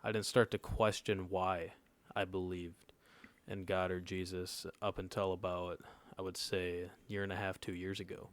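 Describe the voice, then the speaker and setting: A man's voice: low-pitched, level tone, moderate pace, hardly any pauses. A nineteen-year-old man telling a personal story in casual podcast chat, laid-back and often checking in with his listeners. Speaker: podcast co-host.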